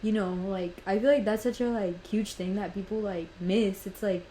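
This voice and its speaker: A nineteen-year-old woman who grew up speaking Spanish talks casually on a podcast, drifting from topic to topic and leaning on "like" and "literally." Her voice sits at a medium pitch. Faint noise runs under the recording. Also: steady tone, moderate pace, warm tone. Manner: rambling, fillers of "like" and "literally". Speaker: podcast host.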